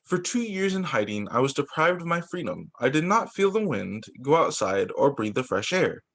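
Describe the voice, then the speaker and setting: A man's voice: mid-range voice, big pitch swings, moderate pace, vocal fry, a bit bright. A man reading a children's book aloud as a teacher, occasionally stumbling, repeating phrases and adding casual asides. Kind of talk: children's story time read-aloud.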